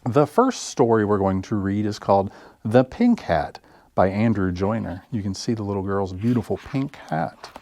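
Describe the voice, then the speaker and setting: Low-voiced man hosting children's story time. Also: expressive, normal speed, darkish tone. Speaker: library story time host. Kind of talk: children's read-aloud and sing-along story time.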